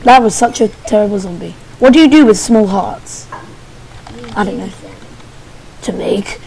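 A young woman giving casual, excitable commentary while playing a game, squealing and making playful sing-song noises. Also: medium pitch, unhurried, vocal fry, slight background noise, poor audio. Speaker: gamer. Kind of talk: casual let's play commentary.

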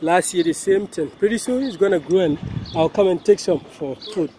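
A man's voice: high-pitched, normal speed, mellow. A man with a Ghanaian accent chats casually and jokily to his viewers, talking into a handheld phone as he walks outside. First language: Twi. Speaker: vlogger, channel host. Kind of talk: casual walking vlog to camera. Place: outdoors, walking around a housing complex. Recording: noisy outdoor phone recording.

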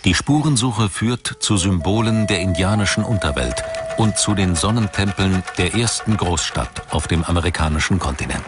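A man narrating a scripted documentary in a measured, storytelling delivery. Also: low-pitched, expressive, background noise. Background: music.

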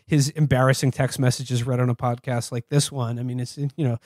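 Wry man, mid-pitched, dry studio sound. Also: flat delivery, fairly quick, a little mellow, dry recording. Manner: wry, discursive, profane. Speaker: podcast co-host. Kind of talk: casual comedic political chat.

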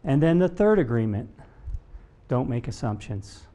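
A middle-aged man giving a motivational keynote talk from a stage, speaking in deliberate, emphatic phrases with pauses. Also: medium pitch, sing-song, dark tone. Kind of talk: motivational public talk, storytelling. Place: stage in a conference room before a seated audience. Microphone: lapel mic.

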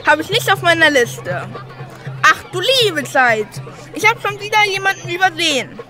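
A harried, frantic rooster from a children's radio play, voiced by a woman. His very high voice tumbles out in a hurry. Music and a crowd sound behind him. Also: sing-song, normal speed, sharp tone, full character voice. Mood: flustered. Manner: hectic, harried. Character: frantic flustered rooster, casting organizer.

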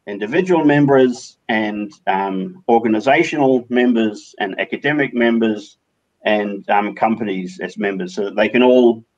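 A man's voice: lowish voice, muffled recording. A man on a video call who speaks hesitantly, restarting his sentences. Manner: hesitant, many fillers and restarts. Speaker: community organizer. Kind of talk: casual video call discussion.

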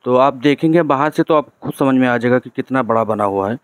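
A man's voice: mid-range voice, fairly quick, clear, resonant tone, dry recording. A man from narrates a casual road-trip vlog from a moving vehicle. He talks in a chatty way and keeps adding "theek hai" as a check-in tag. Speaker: travel vlogger.

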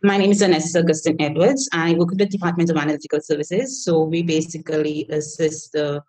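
A woman's voice: low-pitched, flat delivery, quick pace, a little mellow. A woman introducing herself on a video call, plainly explaining her lab work. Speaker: lab analyst, participant.

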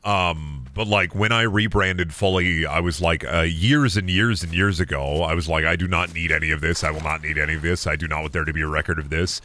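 Low, bright-edged male streamer, sick voice near cracking, rambling, self-deprecating, headset at home. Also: brisk, few pauses. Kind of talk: casual gameplay stream chat. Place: home room at a desk.

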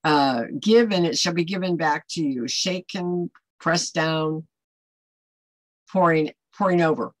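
Older american lady giving a chatty bible lesson, kinda low voice, emphatic, dry close sound. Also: takes it slowly, no echo. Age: older, 60s or above. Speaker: Bible study host. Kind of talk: informal devotional teaching to camera. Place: small home office, indoors.

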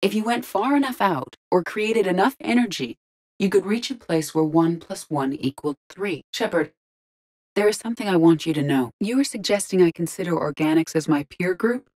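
The onboard computer of a video game starship: a low, measured female machine mind, curious and logical, briefing the crew with clinical formality. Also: animated, darkish tone. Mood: composed, analytical. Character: calm, logical, curious female artificial intelligence in a robot body. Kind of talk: video game character voice lines.

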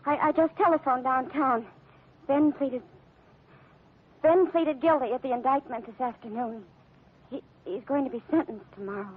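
High-voiced anxious young woman. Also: normal speed, pauses often, husky. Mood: distressed. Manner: faltering, anxious. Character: distraught, timid young girlfriend of accused man. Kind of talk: 1940s radio acting. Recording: thin narrow-band old radio recording.

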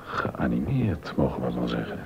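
A man's voice: extremely low pitch, very animated, moderate pace, vocal fry, background noise, exaggerated voice. An actor playing a curious, wry male court musician in a period docudrama, exclaiming and questioning. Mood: wry, surprised. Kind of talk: Dutch-language period docudrama acting. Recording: dull, muffled audio.

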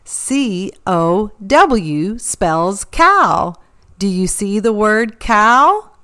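Animated woman teaching young children, very expressive pitch. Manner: animated, slow, careful enunciation, sounding out and spelling words. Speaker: children's read-aloud host. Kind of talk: storybook reading and interactive teaching for young children.

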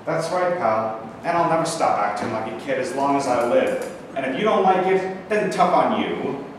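A man's voice: mid-range voice, lively intonation, moderate pace, close to an everyday voice. A young man playing a brash, stubborn soldier and baseball fan in a staged play reading, with an emphatic, cocky manner. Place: large bare indoor rehearsal room. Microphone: distant camera microphone. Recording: very echoey room, distant mic, faint noise.

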